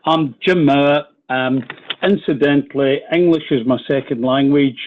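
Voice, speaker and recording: A man with a Scottish accent, a union official giving a measured briefing on a conference call. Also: mid-range voice, a little hiss or hum. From Scotland. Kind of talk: call presentation. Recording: tinny phone line.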